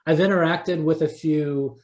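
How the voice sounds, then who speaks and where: A man's voice: on the high side, steady tone, a little slow, darkish tone. A man speaking casually as an interview guest, hesitant and self-deprecating. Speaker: writer, podcast guest. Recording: roomy, echoing voice.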